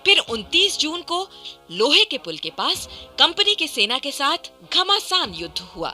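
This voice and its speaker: Animated, bright-voiced woman narrating history. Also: high-pitched, unhurried, faint music behind. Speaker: narrator of a historical radio play. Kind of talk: dramatic scripted documentary narration.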